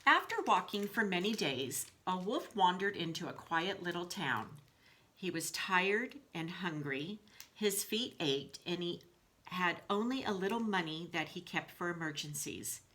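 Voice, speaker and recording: Bright-voiced woman reading to children. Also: lowish voice, expressive, unhurried. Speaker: school librarian reading aloud to pupils. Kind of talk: children's storybook read-aloud.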